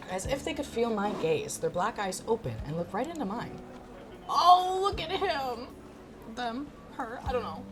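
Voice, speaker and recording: Animated low-voiced woman reading a story game. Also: noisy background. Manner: expressive, informal. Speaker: gaming content creator. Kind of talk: reading a visual novel aloud with casual reactions. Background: music.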